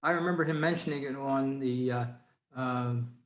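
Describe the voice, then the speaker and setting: A man speaking casually and haltingly on a video call. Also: medium pitch, a crowd in the background. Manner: hesitant, halting. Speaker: club member. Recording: roomy, echoing video-call audio.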